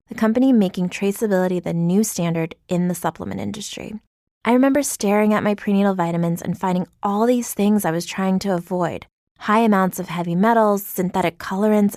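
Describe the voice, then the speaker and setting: A woman's voice: mid-range voice, a little mellow, no echo, clean studio sound. Woman reading an earnest ad. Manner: earnest, polished. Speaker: company founder in an ad. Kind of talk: scripted ad read.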